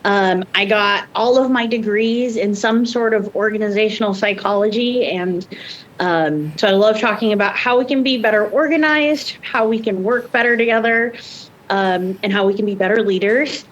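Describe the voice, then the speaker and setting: A young woman from Indonesia speaks as a podcast guest in a mid-pitched voice. She talks casually and a bit hesitantly, with a faint rumble behind her. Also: normal speed, lo-fi sound. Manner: hesitant, many fillers and restarts.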